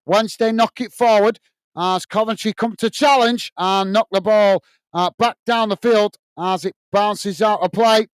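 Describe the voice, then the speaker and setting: A British man with a tiring, slightly hoarse voice giving live play-by-play commentary on a football match for a fan watch-along channel, chatting to viewers as he goes. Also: high-pitched, a little slow, no room sound. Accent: British English. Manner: steady running commentary, talks to viewers as guys. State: voice tired and going hoarse.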